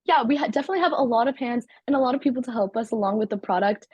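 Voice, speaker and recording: Fast-talking teenage girl, husky and dry. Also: on the high side, a little mellow, dry recording, highs cut off. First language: Turkish. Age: teens. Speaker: student company CEO. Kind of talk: interview answers.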